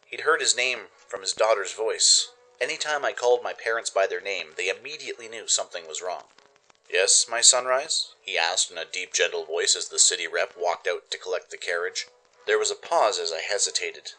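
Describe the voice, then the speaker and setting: A man narrates a radio play in a theatrical, expressive style. His voice is fairly low yet bright and forceful, and music plays beneath it. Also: poor audio. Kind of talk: dramatic scripted narration.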